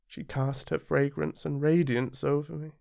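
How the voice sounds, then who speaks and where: A man's voice: on the high side, little pitch movement, measured pace, vocal fry, warm tone, pitched above the performer's own voice. A British man voicing a tender, earnest young boy prince with a wistful manner. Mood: regretful.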